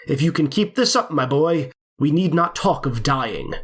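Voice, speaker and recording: An actor playing a measured, husky doctor. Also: male voice, a little high, animated, fairly bright tone. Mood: reassuring. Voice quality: measured, formal. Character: calm, measured country doctor, middle-aged man.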